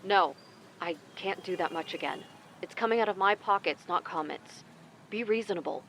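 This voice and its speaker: A man's voice: high-pitched, normal speed, gravelly, fairly bright tone. A pushy undercover company client in a sci-fi audio drama. He is insistent and exasperated as he bargains. A slight noise sits behind the voice. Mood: desperate bargaining. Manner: demanding, exasperated.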